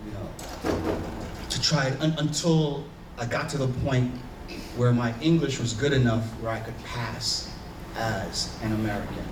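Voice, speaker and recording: A man's voice: mid-range voice, a little slow, noisy background. Earnest man with a Haitian accent. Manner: earnest, searching, many fillers and restarts. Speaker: panelist. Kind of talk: reflective personal talk on a panel. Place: conference room. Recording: echoey room, voice from far off.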